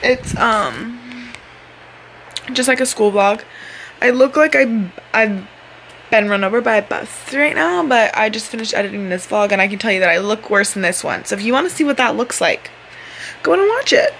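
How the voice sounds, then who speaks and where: A young woman with an American accent chatting casually and excitably to a vlog camera held at arm's length. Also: a little high, normal speed, creaky, low-quality recording. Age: teens to young adult. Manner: bubbly, giggly, exclamatory. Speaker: vlogger, high school student. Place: car, classroom, gym, bedroom. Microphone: handheld camera at arm's length. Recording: handheld vlog audio, chatter and background noise.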